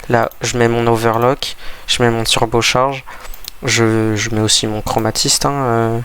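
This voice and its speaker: A man's voice: medium pitch, little pitch movement, unhurried, fairly bright tone, slight background noise. A man with a cold and a stuffy voice, giving rambling, hesitant casual commentary over a game he is playing, often restarting his sentences. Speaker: gaming video creator.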